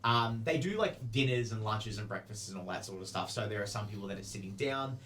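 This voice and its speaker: A man's voice: on the low side, lively intonation, fairly quick, fairly bright tone, slight background noise. A man improvising narration as game master of a tabletop game, in casual, rambling explanations. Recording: music behind the voice.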